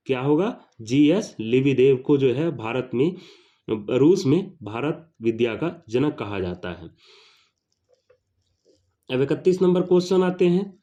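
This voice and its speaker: A male teacher works through exam questions, repeating each question and each answer. His voice is warm and mellow. The recording sounds dull and lo-fi. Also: medium pitch.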